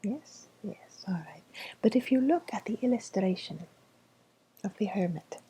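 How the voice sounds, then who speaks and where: A woman playing a soft-spoken fortune teller in an ASMR role-play, with a made-up foreign accent and a gentle, hesitant, whispery delivery. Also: medium pitch, lively intonation, breathy edge, rough recording. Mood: gentle, reassuring. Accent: made-up, indeterminate foreign. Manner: slow, hesitant, gently reassuring, with pauses. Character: softly spoken mystical fortune teller woman reading tarot cards.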